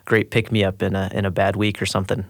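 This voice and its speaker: A man's voice: low-pitched, monotone, quick pace, creaky, dry recording. A man, an assistant radio producer, talking casually in a studio discussion.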